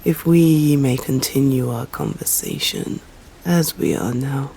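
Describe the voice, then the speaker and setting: A woman's voice: very deep voice, creaky, breathy edge, heavily acted. Woman whispering as an ancient stern vampire. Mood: threatening. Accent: English. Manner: slow hushed whispering, formal and commanding. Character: ancient stern female vampire, protective yet deadly. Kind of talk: ASMR voice roleplay.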